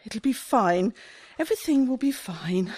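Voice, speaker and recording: Radio comedy casting: actress for highly strung woman shop owner, agitated and indignant, high, animated delivery. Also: a little slow, slight background noise. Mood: self-soothing. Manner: agitated, melodramatic. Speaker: actor in a radio play.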